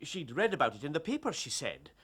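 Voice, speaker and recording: An actor playing a sheepish, deferential police constable in a period drama, full of folksy excuses. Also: male voice, on the high side, sing-song, fast talker, fairly bright tone, breathy edge, exaggerated voice. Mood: sheepish, explaining. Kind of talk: period detective drama acting.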